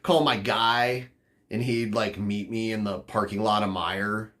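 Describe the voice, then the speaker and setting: A man's voice: lowish voice, fairly even intonation, unhurried, a bit bright. A man from the northern United States, a podcast host rambling casually with lots of fillers. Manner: rambling, filler-heavy, offhand. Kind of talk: casual chat answering chat.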